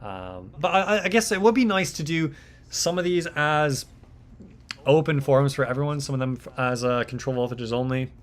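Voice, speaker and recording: A man's voice: medium pitch, lively intonation, normal speed. A young man hosting a live mixing stream, chatting casually and rambling with lots of fillers and repeated words. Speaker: livestream host, mixing engineer. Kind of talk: casual livestream talk.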